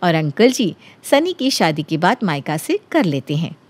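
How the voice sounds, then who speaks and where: A woman narrating a scripted animated story as its storyteller. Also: on the low side, a little slow, breathy edge.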